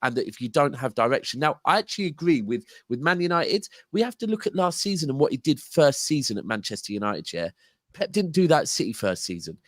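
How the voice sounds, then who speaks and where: An animated man with a lively, higher voice cuts in to argue about football. He says bro a lot and the sound is dry. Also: no room sound. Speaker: football podcast co-host. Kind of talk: casual football debate.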